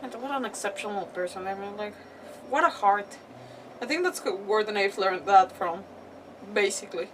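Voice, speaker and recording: A woman streaming a game with casual, emotional reactions, halting as she searches for words. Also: mid-range voice, recorded from a distance, slight background noise, poor audio. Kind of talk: casual live gameplay reaction commentary.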